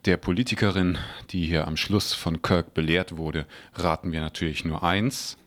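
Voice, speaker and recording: Deep-voiced man with dry irony. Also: steady tone, normal speed, a bit bright. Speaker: co-host. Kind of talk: joking studio banter.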